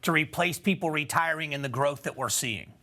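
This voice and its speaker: A man's voice: a little high, fairly quick, pushed and bright. An American man hosting a television news interview, putting questions to a guest in broadcast style.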